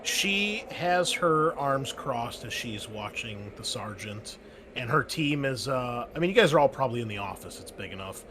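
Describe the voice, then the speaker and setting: A man running a tabletop game as game master, narrating in a casual, halting way full of fillers. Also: mid-range voice, expressive, background noise.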